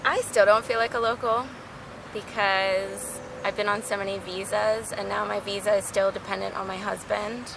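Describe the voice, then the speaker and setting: A woman from Baltimore with a gravelly, fry-edged voice of middle pitch, answering thoughtfully and letting her sentences trail off. Traffic noise from an outdoor city street is clearly heard around her. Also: level tone, moderate pace. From Baltimore, USA. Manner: thoughtful, hedging, soft trailing endings. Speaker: interviewee, drama teacher. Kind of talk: casual street interview chat.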